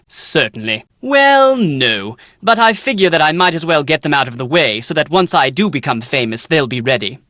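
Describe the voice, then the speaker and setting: Pompous, very animated British scholar. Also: male voice, a little high, dry recording, studio quality, full character voice. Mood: courteous, bargaining, then casual, self-assured. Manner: pompous, theatrical, storytelling, bookish diction. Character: Nigel Mukherjee, pompous, excitable British male scholar writing family history. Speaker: researcher and aspiring author. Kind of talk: video game character dialogue.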